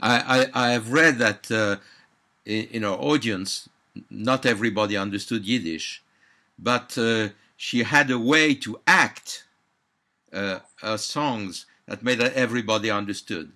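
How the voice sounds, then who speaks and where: A man's voice: lowish voice, a little slow, pushed and bright. A man interviewing a guest, giving brief and polite prompts. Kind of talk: interview questions.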